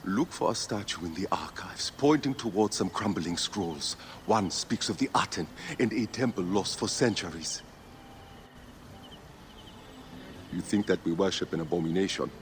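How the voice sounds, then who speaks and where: A man's voice: deep voice, animated, moderate pace, few pauses, slight background noise. An actor playing a devout male sun-cult leader who chants hymns and speaks with solemn fervor in a video game. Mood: grave. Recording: game audio with loud score.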